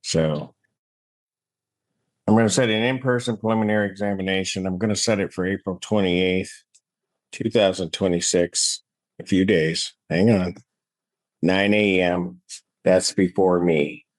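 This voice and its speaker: Deep-voiced male judge. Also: steady tone, measured pace, pauses often. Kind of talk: courtroom proceedings, plain-spoken explanation.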